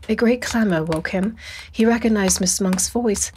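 A woman's voice: mid-range voice, normal speed, a little mellow, a little hiss or hum. A woman narrating a spooky audiobook in a dramatic, scripted storytelling style. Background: atmospheric sound design and music.

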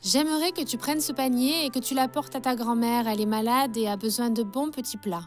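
Actress as a caring cartoon mom, high voice, giving gentle instructions, soft music behind. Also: female voice, fairly quick, natural voice. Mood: caring, instructive. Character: caring, instructive mother of a little girl. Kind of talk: children's cartoon voice acting.

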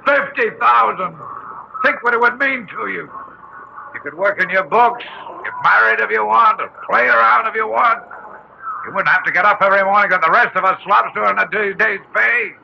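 A tough middle-aged businessman father in a radio play, blunt and bullying. His gravelly voice swings widely as he bargains in rough slang. It comes from a muffled, noisy old recording. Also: sits high, exaggerated voice. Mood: desperate bargaining. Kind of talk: old-time radio drama acting.

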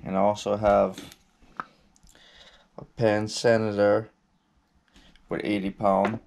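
A young man with a low, gravelly voice talks through his fishing gear at an easy, unhurried pace. His delivery stays flat and laid-back, full of ums and restarts. He sounds like he is recorded on an action camera in a cluttered indoor spot. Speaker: fishing vlogger. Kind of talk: casual gear walkthrough.

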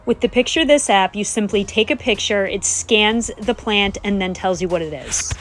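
A woman's voice: medium pitch, moderate pace, fairly bright tone. A woman in her thirties talking casually to her phone camera on an outdoor porch, enthusiastic and chatty, repeating words for emphasis. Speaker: homesteading vlogger. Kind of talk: casual vlog talk to camera. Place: covered back porch of a house, outdoors. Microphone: phone camera at arm's length.

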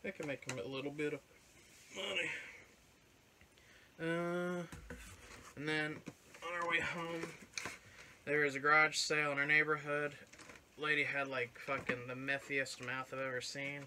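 A man's voice: a little high, little pitch movement, unhurried, long pauses, noisy background. A young man hosting a casual show-and-tell of his flea market finds, talking offhand and swearing now and then. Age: young adult. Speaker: channel host showing his finds. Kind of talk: casual chat. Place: living room with record shelves and couch. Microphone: fixed camera at couch distance.

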